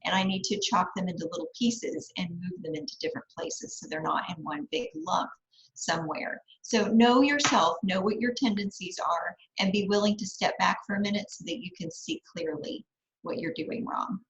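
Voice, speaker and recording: A woman's voice: medium pitch, animated, normal speed. A woman on a podcast panel giving conversational advice about writing. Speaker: podcast panelist and fiction writer. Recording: dull, echoey room sound.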